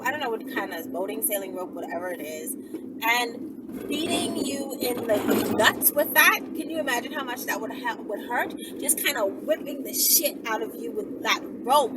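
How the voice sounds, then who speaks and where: A woman talking casually to her viewers as a channel host, rambling as she gives step-by-step instructions. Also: high voice. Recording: clearly noisy, with background ambience.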